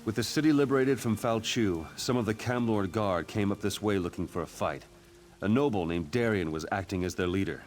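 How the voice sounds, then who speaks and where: An actor playing a stern, blunt military commander in a fantasy game, speaking gravely over music. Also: male voice, lowish voice, fairly quick, slight background noise, lightly acted. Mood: exasperated, concerned. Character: stern male military commander fighting a necromancer. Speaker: game character. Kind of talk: scripted fantasy game dialogue.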